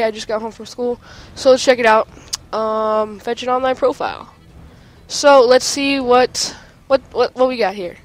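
A male gaming host with a stuffy nose from a cold, chatting excitedly while clicking through game menus at home. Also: very high voice. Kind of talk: casual game menu walkthrough.

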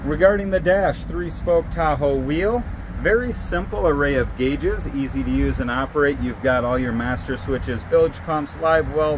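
Man, boat dealer walkthrough, upbeat feature listing, outdoor lot, faint traffic behind. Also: mid-range voice. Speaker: boat salesman giving a walkthrough. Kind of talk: product walkthrough.

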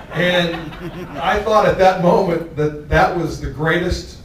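A man's voice: high voice, takes it slowly, slight background noise. An older man from Texas giving an award acceptance speech on stage, telling stories in a jovial way with a handheld microphone. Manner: jovial, storytelling, laughs along with the crowd. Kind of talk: anecdotal speech to an audience. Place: large hall, on stage. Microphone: handheld mic, filmed from far off. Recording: echoey hall, far from the camera.

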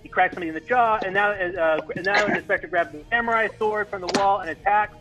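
A man's voice: high voice, fast, music in the background. A man narrating stage directions at a comedy table read, informal, with stumbles and ad-libs.

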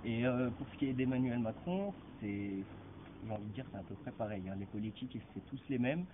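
A man's voice: medium pitch, expressive, a little fast. A young man being interviewed outdoors on a green, thinking aloud and searching for his words. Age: young adult. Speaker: passer-by interviewed in a park. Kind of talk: street interview. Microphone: handheld mic held by interviewer. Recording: phone-like sound, street noise.

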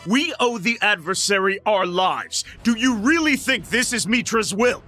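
An actor playing a defiant, principled young prince in a video game, earnest and indignant. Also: male voice, high voice, expressive, moderate pace, pushed and bright, soft background music.

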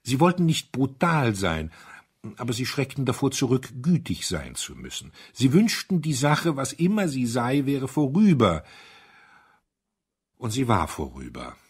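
A man narrating a literary story from a script in a measured, expressive way, with wry formality. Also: mid-range voice, husky. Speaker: audiobook narrator.

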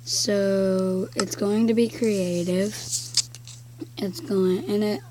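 A woman with a croaky voice giving casual gameplay commentary, slowly counting blocks aloud as she builds. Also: medium pitch, fairly even intonation, background noise. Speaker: game video creator.

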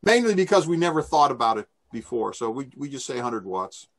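A man's voice: medium pitch, very animated, brisk, fairly bright tone. An older man giving an informal, explanatory briefing and answering questions over a video call. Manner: explanatory, conversational. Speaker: presenter taking questions. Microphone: video call microphone.

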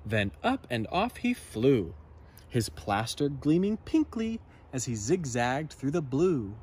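Male storyteller, thirties, slow and highly animated, slightly husky, expressive picture-book delivery outdoors. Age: 30s. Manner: expressive, dramatic. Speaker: storybook reader. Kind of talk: animated children's story reading. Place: outdoors in a garden.

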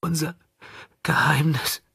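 A man voicing a traumatised, guilt-ridden young male in an audiobook, speaking in a halting, breathy, trembling whisper. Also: on the high side, steady tone, pushed and bright, dry recording, studio quality, pitched above the performer's own voice, heavily acted. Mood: anguished, fearful. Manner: halting, repeats words in distress. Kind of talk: audiobook character dialogue.

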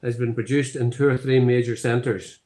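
A man's voice: medium pitch, little pitch movement, moderate pace, warm tone. A man in his seventies with a Northern Irish accent, a distillery founder telling his story in a rambling way with many fillers, on a video call from a home office. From Northern Ireland. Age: 70s. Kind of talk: online tasting conversation. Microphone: laptop webcam on video call.

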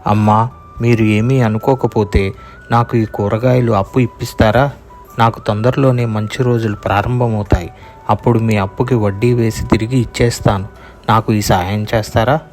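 Man as an earnest, low-voiced young potter. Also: fairly even intonation, normal speed, darkish tone, faint music behind, close to an everyday voice. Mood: pleading. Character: earnest, naive young male potter seeking help. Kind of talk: cartoon moral story voice acting.

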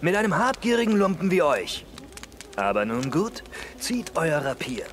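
An actor playing a bold, mocking outlaw soldier with a sense of honour in a theatrical radio drama. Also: male voice, fairly high pitch, big pitch swings, normal speed, a bit bright, a little hiss or hum. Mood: defiant. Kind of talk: German radio drama acting.

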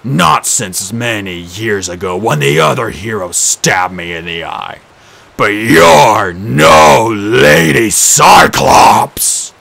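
A man voicing a huge, dim-witted male cyclops who growls his words slowly and heavily. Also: deep voice, animated, creaky, pushed and bright, pitched below the performer's own voice, full character voice. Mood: growling menace. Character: huge brutish one-eyed male cyclops.

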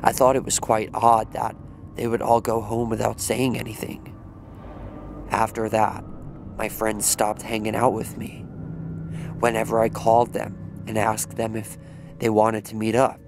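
A man narrating a scripted story in a measured, flat manner. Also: fairly low pitch, gravelly, background noise.

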